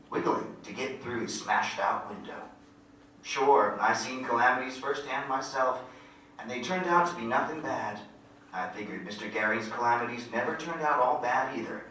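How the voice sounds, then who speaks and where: Man as country narrator, rural American accent, folksy storytelling, bright tone, heavy room echo, faint noise. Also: medium pitch, lightly acted. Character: folksy country boy narrator recalling events vividly. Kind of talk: dramatic monologue in staged reading. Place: indoor venue, staged reading. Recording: very echoey room from far off, noisy.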